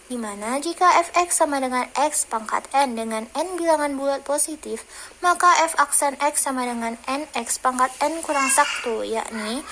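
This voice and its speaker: A young woman, a university student, presenting a calculus lesson by reading each formula aloud in a steady, step-by-step way. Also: high-pitched, slight background noise, poor audio. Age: young adult. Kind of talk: reading a math explanation.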